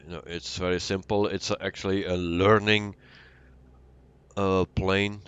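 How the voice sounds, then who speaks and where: A man with a Belgian accent giving casual, halting, unscripted commentary as he live streams a flight simulator. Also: low voice, little pitch movement, a bit bright, clean tone, muffled recording. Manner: halting, unscripted, answering chat. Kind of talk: casual livestream commentary while playing.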